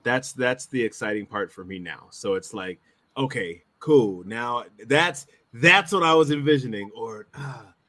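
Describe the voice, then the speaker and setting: A man chatting casually as a show's director, in a halting way that circles back over phrases. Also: mid-range voice, pushed and bright. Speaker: director, show regular. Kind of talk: casual chat.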